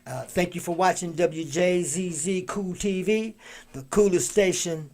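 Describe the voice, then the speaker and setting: A man's voice: high-pitched, measured pace, darkish tone. A man hosting a live talk show, speaking casually with many fillers and restarts. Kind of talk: casual live-stream interview.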